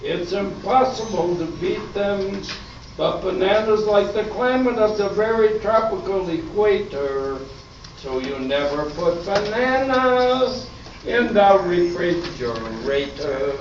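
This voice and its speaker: A man's voice: high-pitched, animated, takes it slowly. Very echoey singsong banana mascot played by a man. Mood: cheery, singsong. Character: cheery advertising banana mascot. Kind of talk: old radio commercial jingle. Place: live stage performance in a hall. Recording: very echoey, distant, dull, noisy stage recording.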